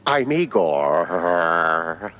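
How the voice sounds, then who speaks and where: A man's voice: low voice, big pitch swings, drawn-out words, fairly bright tone, heavily acted. An actor playing an eccentric, obsequious lab caretaker in a cartoonish video game, rambling in a theatrical gothic henchman manner. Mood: eager. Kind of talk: cartoonish game cutscene acting. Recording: long echoing reverb.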